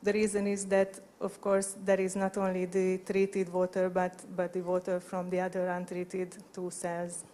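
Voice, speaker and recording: A woman's voice: on the low side, monotone, moderate pace, hardly any pauses, mellow. A woman in her thirties with a Hungarian accent gives a conference presentation in hesitant non-native English into a handheld microphone. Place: conference hall at a lectern. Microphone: handheld microphone held at chest level.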